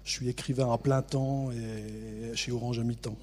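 A middle-aged man from the Vosges with a local accent, an author answering interview questions in a relaxed, self-mocking way with many hesitations. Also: mid-range voice, moderate pace. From Vosges, France. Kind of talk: radio interview.

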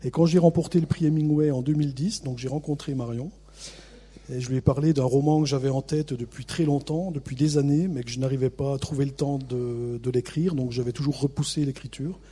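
Husky-voiced man with a Vosges accent. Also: medium pitch, brisk. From Vosges, France. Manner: relaxed, self-mocking, many hesitations. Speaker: author, interview guest. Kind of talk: radio interview.

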